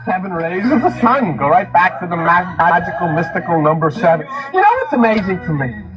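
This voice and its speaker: A man's voice: sits high, animated, brisk, highs cut off. A man giving an impassioned lecture on religion, speaking emphatically and repeating phrases for stress. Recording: speech mixed over music. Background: music.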